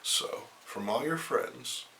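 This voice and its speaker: A man's voice: mid-range voice, slow, gravelly, bright, forceful tone, distant mic, slight background noise, low-quality recording. A man hosting a comic-book reading, mixing theatrical narration with casual asides. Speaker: host reading a comic aloud.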